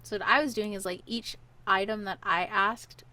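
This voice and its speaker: A woman's voice: medium pitch, fairly even intonation, rough recording. A woman co-hosting a podcast, playing a word game in casual chat with frequent giggles. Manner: giggly, quick soft answers. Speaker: podcast co-host.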